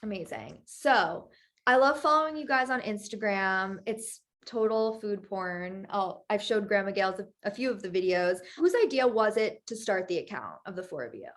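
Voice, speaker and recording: A woman's voice: medium pitch, animated, normal speed, no echo. A young woman hosting a podcast, giving chatty, upbeat introductions and interview questions. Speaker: podcast host. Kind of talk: casual interview chat.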